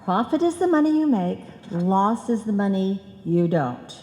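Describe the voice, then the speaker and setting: An actress playing a chatty, muddled, earnest housewife with money-making notions in an old-time radio comedy. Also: female voice, mid-range voice, very animated, a little slow, mellow. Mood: excited scheming. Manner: muddled, earnest, malapropism-prone. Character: scatterbrained, chatty housewife eager to make money. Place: live performance in a reverberant hall. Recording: echoey hall, live stage performance.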